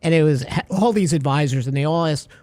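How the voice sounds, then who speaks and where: A man's voice: on the high side, fast, no room sound. A man hosting a radio talk show, chatting casually and acting out little stories as he goes. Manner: animated storyteller, acts out little scenes.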